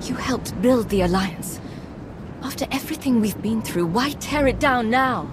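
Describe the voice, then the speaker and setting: An actress playing a steely alliance commander and wounded lover in a dramatic video game, earnest and measured. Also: female voice, on the high side, expressive, noisy background, lightly acted. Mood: hurt, pleading.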